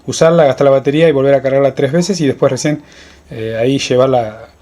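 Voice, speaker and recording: A man's voice: mid-range voice, fast. A man giving an informal, didactic tutorial, often checking understanding with short questions. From Argentina. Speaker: outdoor guide giving a tutorial. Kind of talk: informal instructional explanation. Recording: clear steady ambient background noise.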